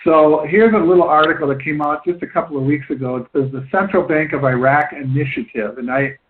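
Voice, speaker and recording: Over a thin, phone-like line, a slightly high-voiced man hosts a conference call. He explains points at length, haltingly, circling back to rephrase his phrases as he goes.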